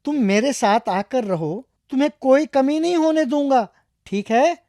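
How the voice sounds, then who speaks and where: Cartoon young boy acted by a narrator, kind and earnest, expressive, raised pitch, close dry sound. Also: measured pace, no echo. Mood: concerned, kind. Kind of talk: children's cartoon story acting.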